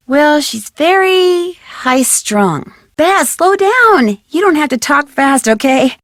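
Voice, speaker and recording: A woman's voice: a little high, no room sound, clean studio sound, natural voice. Woman playing chatty young American female sleuth. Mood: casual. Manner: conversational, gossipy. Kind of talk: video game voice acting, conversational.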